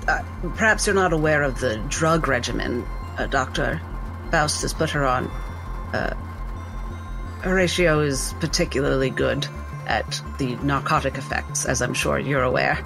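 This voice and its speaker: A man playing a hesitant, polite, bookish schemer in a tabletop game, speaking in a halting, placating way. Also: mid-range voice, gravelly, over music, low-quality recording. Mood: polite persuasion.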